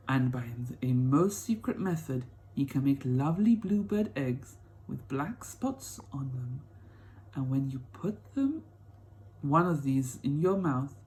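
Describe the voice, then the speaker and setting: A man reading a children's story as an excitable old grandfather, full of wonder, emphatic and savoring each detail. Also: a little high. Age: older. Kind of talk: children's storybook read-aloud.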